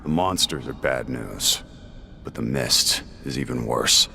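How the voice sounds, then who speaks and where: Very deep, gravelly, blunt warrior. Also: male voice, a little slow, pushed and bright, slight background noise, heavily acted. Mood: grim warning. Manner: terse, blunt. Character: gruff, blunt, impatient male warrior. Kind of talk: video game cutscene acting.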